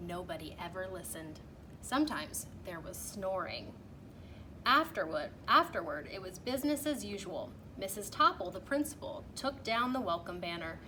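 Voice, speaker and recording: A woman reading a children's storybook aloud in an animated, emphatic way, drawing out key phrases. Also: lowish voice, normal speed. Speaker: teacher reading a picture book aloud. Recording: clearly noisy background.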